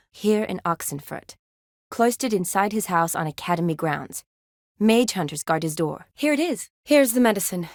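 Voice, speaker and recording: An actress playing a young woman army medic in a video game, caring, playful and briskly practical, with a natural, teasing delivery. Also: medium pitch, no echo, clean studio sound. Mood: businesslike. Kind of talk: video game character dialogue.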